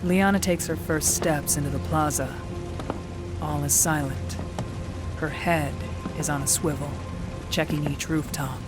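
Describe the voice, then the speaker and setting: A woman narrating in first person as a squad leader in a fan audio drama, measured and low, barking orders in the action scenes. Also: steady tone. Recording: loud music bed under the voice.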